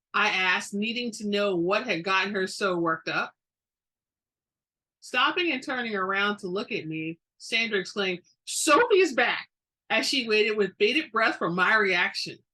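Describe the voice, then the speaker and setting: A woman reading a book aloud with dramatic flair, expressive and emphatic in her delivery. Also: mid-range voice, husky, poor audio. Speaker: book reader.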